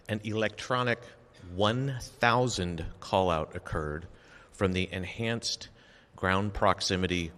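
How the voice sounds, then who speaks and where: A man reading a formal prepared statement as an official at a briefing, measured, factual and deliberate. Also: low voice, fairly bright tone. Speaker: official giving an investigation briefing. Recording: long reverberant echo of a hall.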